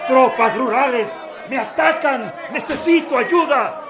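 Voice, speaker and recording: An actor playing a betrayed, alarmed president under attack, crying out theatrically in a radio drama. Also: male voice, extremely high pitch, measured pace, crowd noise. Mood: alarmed shouting. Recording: loud dramatic music bed.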